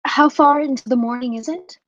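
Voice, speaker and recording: A young woman playing a noble, devout war leader in a Shakespearean radio play, speaking in a measured, oratorical way. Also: high voice, music in the background, close to an everyday voice. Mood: resolute, noble. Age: young adult. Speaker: acting student. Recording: dull, lo-fi sound.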